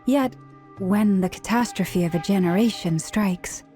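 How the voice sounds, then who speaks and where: A reserved, serious young woman from a video game , acted by a woman, speaks with measured formality. The tone is dark and relaxed at a middling pitch, over faint music. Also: close to an everyday voice. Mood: calm, earnest. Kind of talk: English video game dub acting.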